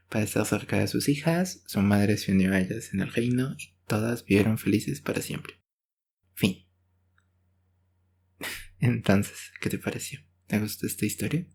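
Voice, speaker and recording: A man's voice: lowish voice, darkish tone, husky. A man telling a bedtime story in intimate ASMR style, soothing and affectionate like a boyfriend. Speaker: ASMR roleplay performer, storyteller.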